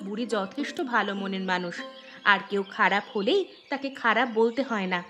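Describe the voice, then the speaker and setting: A woman's voice: medium pitch, a little fast, over music, natural voice. A woman voicing a kind, sensible elder daughter-in-law in a cartoon village tale, patient and reasonable. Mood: reproachful. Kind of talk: Bengali cartoon fairy-tale dubbing.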